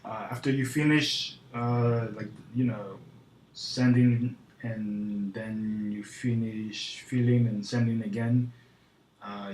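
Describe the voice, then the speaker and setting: A man putting on a French accent as he presents an informal how-to tutorial in a hesitant, halting way. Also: fairly low pitch, a little mellow. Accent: put-on French. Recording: roomy echo, faint background noise.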